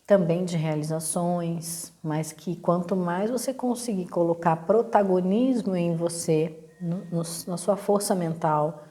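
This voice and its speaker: A Brazilian woman reading a weekly horoscope and tarot in a relaxed, hesitant way, clearing her throat as her voice catches. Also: lowish voice, unhurried. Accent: Brazilian Portuguese. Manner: relaxed, hesitant, lots of fillers and restarts. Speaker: astrology and tarot reader. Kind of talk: casual weekly horoscope talk.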